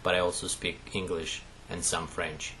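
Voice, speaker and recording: A man with a Russian accent speaks in plain, simple statements. His voice is very low yet bright and forceful. A faint hiss sits behind him. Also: level tone, normal speed, poor audio. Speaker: example speaker in a lesson.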